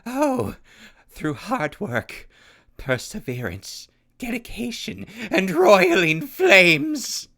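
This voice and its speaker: A man's voice: high-pitched, very animated, extremely slow, creaky, sharp tone, heavily acted. A man playing a volatile pyromaniac clown soldier in an audio drama, with grandiose, florid speech and swooping outbursts.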